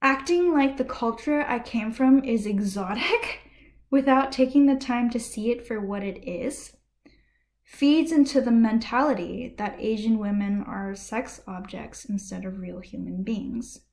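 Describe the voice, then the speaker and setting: A woman vlogger speaking to camera from a room at home, giving impassioned personal commentary in a ranty, earnest way that dips into soft, breathy asides. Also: on the high side, a little slow. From United States.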